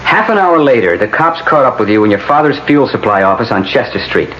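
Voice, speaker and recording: An actor playing a blunt, sardonic middle-aged American defense lawyer in a radio drama. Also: male voice, medium pitch, animated, brisk, natural voice. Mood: stern. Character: blunt, world-weary male defense lawyer. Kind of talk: 1980s radio drama acting. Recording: muffled, noisy old radio broadcast.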